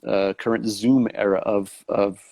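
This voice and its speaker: Lowish new york guy hosting a video call interview, keeps restarting his sentences, dry close sound. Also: fairly even intonation, measured pace, a little mellow, no room sound. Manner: rambling with many restarts. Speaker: host. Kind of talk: live online interview.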